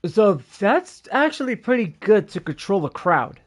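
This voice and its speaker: Tired, higher-pitched man streaming a game. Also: sing-song, unhurried. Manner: slangy, mild swearing, muttering asides. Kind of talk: casual livestream gameplay commentary. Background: game music.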